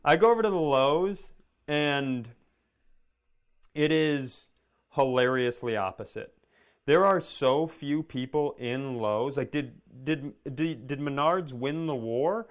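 Clear-voiced man telling sarcastic comic stories. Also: mid-range voice, slow. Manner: sarcastic, swearing, acts out dialogue. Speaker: comedy podcast co-host. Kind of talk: comedic storytelling in casual chat.

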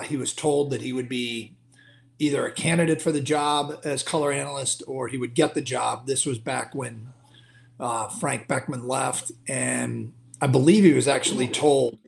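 A man, a sports broadcaster, chatting casually as a guest and telling anecdotes in a self-deprecating, halting way. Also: mid-range voice, vocal fry. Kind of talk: casual interview chat, anecdotes.

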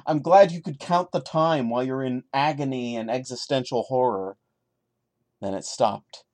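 A man's voice: medium pitch, moderate pace, a little mellow. A man giving a dramatic reading of a horror story as a podcast host, breaking into exasperated, sarcastic comic commentary. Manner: sarcastic, stammering asides between solemn narrated passages.

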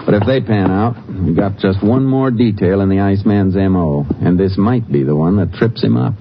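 An actor playing a steady, methodical Texas Ranger in a 1950s western radio drama, American, matter-of-fact and laconic. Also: male voice, deep voice, normal speed. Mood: deducing. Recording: muffled old radio broadcast, music behind.

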